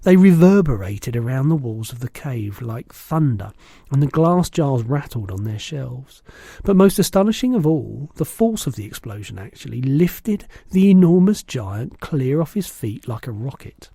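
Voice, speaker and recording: A man reading a children's storybook aloud as an expressive narrator. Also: medium pitch, moderate pace, creaky, slightly rough, no room sound. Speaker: storybook reader.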